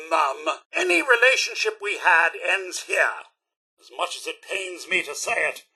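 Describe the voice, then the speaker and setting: Casting: fussy British robot butler for a game, high-pitched, very bright and forceful, formal and easily wounded. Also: male voice, breathy edge, full character voice. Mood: wounded, indignant. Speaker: companion character in a video game. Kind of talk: theatrical video game voice acting.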